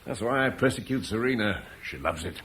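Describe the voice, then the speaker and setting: A hearty old Roman landowner. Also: male voice, on the low side, expressive, fairly quick, a bit bright. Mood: genial. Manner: hearty, boastful, laughing. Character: jovial older Roman landowner in Britain. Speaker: Julian, a Roman settler. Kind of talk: 1970s BBC radio drama acting. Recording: faint hiss of an old radio broadcast.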